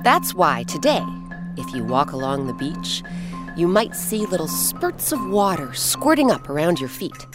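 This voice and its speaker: A woman hosting and narrating a children's story in an expressive, scripted style with dramatic pauses. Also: low voice. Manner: clear, animated, dramatic pauses. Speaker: host and narrator. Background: instrumental music.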